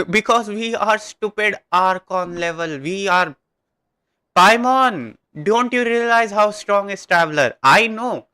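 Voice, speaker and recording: A man's voice: high voice, expressive, takes it slowly, bright, forceful tone, clean tone, dry recording. A man with a North Indian accent giving casual, rambling live game commentary that switches between Hindi and English. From India, Delhi. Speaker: game livestreamer. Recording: game music behind.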